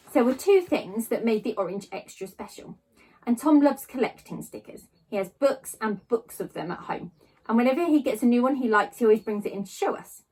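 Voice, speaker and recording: A woman reading a children's novel aloud, narrating in a child's first person with expressive storytelling emphasis. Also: mid-range voice, husky, rough recording. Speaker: storybook reader.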